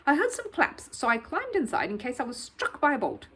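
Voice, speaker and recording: Woman voicing an earnest, lively young bear. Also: high-pitched, brisk, a little hiss or hum, pitched above the performer's own voice, exaggerated voice. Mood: eager explaining. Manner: earnest, polite, innocent. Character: polite, earnest, hopeful young male bear. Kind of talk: children's storybook character voice.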